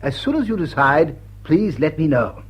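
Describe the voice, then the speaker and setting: Stuffy titled gentleman, high husky voice, halting formality, dull old soundtrack. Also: very animated. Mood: shy, courteous. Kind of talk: vintage drawing-room drama acting.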